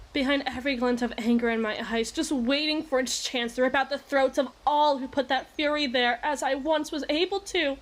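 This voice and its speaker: A woman playing an obsessive, eloquent evil queen in a dramatic ASMR roleplay monologue, with deliberate, theatrical phrasing and pauses. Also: on the high side, slight background noise, close to an everyday voice. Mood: vengeful.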